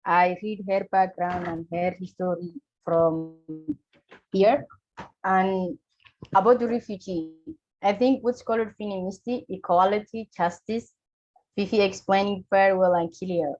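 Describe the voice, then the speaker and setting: A woman from Somalia giving an earnest talk in a webinar, speaking halting with pauses, over a video call. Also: mid-range voice, darkish tone, dull audio. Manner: earnest, halting non-native English with pauses. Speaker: webinar speaker, refugee community leader.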